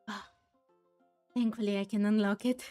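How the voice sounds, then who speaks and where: A woman's voice: medium pitch, little pitch movement, moderate pace, mellow. A woman giving casual commentary as she plays through a game, chatty and musing aloud, with game music behind. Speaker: gaming streamer. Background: faint game music.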